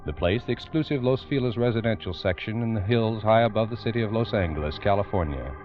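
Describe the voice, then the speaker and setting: A man's voice: lowish voice, quick pace, soft background music. An American man narrating an old radio crime program in a solemn, emphatic, theatrical manner, on a muffled recording. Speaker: narrator and host.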